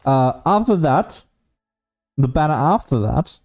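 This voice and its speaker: A man giving casual solo gameplay commentary as a streamer, rambling and thinking out loud as he goes. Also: on the high side, lively intonation, unhurried, faint music behind. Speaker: gaming streamer.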